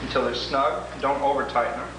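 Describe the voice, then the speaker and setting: A man's voice: mid-range voice, flat delivery. Echoey, distant man giving step-by-step instructions. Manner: deliberate, methodical explaining. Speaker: product demonstrator. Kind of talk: instructional demo walkthrough, step by step. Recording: roomy, echoing, noisy 1980s demo tape, distant mic.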